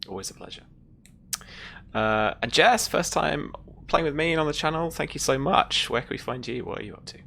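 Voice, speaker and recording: A man's voice: on the high side, expressive, a little fast, vocal fry, pushed and bright, slight background noise. A man hosting a gaming stream, thanking players and chatting casually. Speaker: host and game master. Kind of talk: casual stream sign-off chat.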